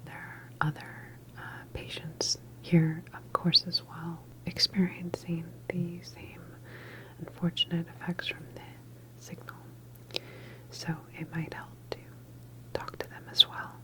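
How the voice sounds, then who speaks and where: A woman playing a calm, reassuring doctor in an ASMR medical roleplay, speaking in a soft, breathy whisper with gentle, hesitant phrasing. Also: lowish voice, takes it slowly, low-quality recording. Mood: soothing, sympathetic. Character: calm, reassuring female doctor at a government facility.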